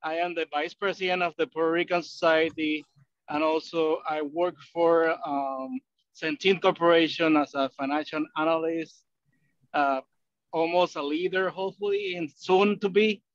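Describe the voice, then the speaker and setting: A man's voice: on the high side, steady tone, normal speed, no room sound, lo-fi sound. A man calling in to a video meeting while driving, introducing himself in a friendly way. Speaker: financial analyst. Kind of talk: self-introduction on a video call.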